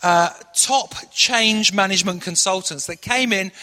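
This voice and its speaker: Middle-aged preacher guy from manchester, high tense voice, big echoey church hall. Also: measured pace. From Manchester, England. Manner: earnest storytelling preacher, shifts into hushed prayer. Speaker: pastor. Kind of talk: sermon. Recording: long echoey hall reverb.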